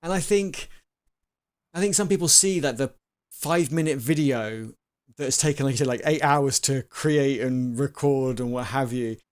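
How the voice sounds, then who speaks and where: A man hosting a live stream, chatting casually and acting out quotes with lively swings, often repeating words as he restarts. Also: medium pitch, normal speed.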